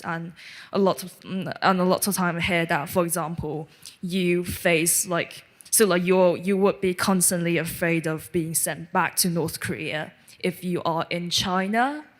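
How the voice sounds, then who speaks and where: A woman from Hong Kong asking a spontaneous question from the audience, hesitant and often rephrasing herself. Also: lowish voice.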